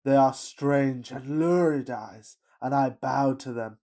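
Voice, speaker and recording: A man playing a fussy, passionate Victorian gentleman in a farcical radio play, with pompous, declamatory delivery. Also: mid-range voice, slow pace. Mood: defiant. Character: melodramatic, fussy Victorian gentleman suitor, self-pitying and passionate.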